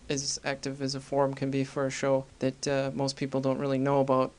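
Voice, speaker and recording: Clear-voiced casual man hosting a podcast. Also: mid-range voice. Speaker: podcast host. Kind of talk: casual solo podcast commentary.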